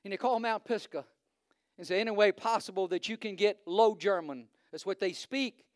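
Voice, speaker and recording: A man's voice: sits high, a little fast, dry recording, clean studio sound. A man from Virginia with a Virginian accent, preaching a sermon as a visiting preacher, fervent and building to emphatic repetition. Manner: fervent, rising to emphatic preaching with frequent uh fillers.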